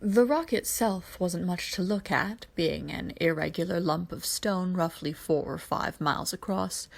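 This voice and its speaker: A woman narrates fiction from a script. Her voice sits a little low for a woman and carries a strong creak throughout. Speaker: podfic narrator reading fan fiction.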